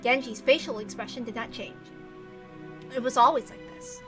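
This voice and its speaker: A woman's voice: a little high, moderate pace, fairly bright tone, faint music behind. A woman reading a visual novel aloud expressively, with casual, blunt and sweary commentary. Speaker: visual novel reader and narrator.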